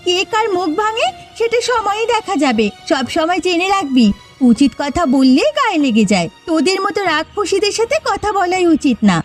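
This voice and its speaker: A woman's voice: very high-pitched, sing-song, normal speed. A woman voicing a proud, scheming, quarrelsome village wife in a cartoon, with a scolding, boastful manner. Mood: indignant, taunting. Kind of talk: animated cartoon dialogue. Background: background music.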